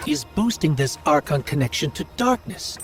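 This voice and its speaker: Lively, inquisitive robot companion. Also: male voice, a little high, moderate pace, faint music behind. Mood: puzzled, curious. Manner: inquisitive, puzzled, thinking aloud. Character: curious, earnest small robot companion. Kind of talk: video game dialogue.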